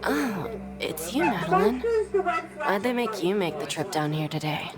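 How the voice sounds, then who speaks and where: Jaded, sarcastic prison clerk. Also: female voice, medium pitch, very animated, measured pace. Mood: sarcastic grumbling. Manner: dry, grumbling, sarcastic. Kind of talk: game dialogue acting. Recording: game music behind the voice.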